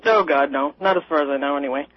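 A woman's voice: low-pitched, fast talker, a little hiss or hum. A woman from Minnesota calling in to a radio show, rambling and laughing with a sarcastic edge. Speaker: caller. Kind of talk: call-in radio chat. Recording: dry, muffled phone-in audio.

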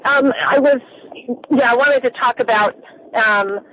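A woman's voice: a little high, measured pace. A woman calling in to a show, talking casually and hesitantly over the telephone. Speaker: caller. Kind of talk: casual, hesitant phone-in chat. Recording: thin phone line, faint noise.

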